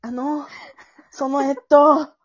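A woman's voice: sits high, little pitch movement, takes it slowly, highs cut off, pitched above the performer's own voice. A woman playing a cute, slightly silly young woman in an improvised romantic skit, coy and playfully pouting as she hopes for a confession. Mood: coy, flustered.

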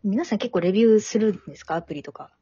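A woman's voice: mid-range voice, expressive, very fast, warm tone, breathy edge, no room sound. A woman with a Kansai accent hosting a casual group chat, with a giggly, slightly hesitant way of talking. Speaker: host of an amateur drama group's after-talk show. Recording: muffled lo-fi audio, dry sound.

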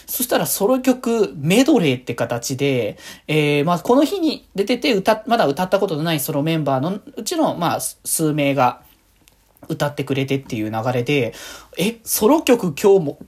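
A woman hosts a casual solo fan chat in a low-pitched, husky voice. Her intonation moves widely up and down. She rambles along with gushing, excited enthusiasm. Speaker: podcast host.

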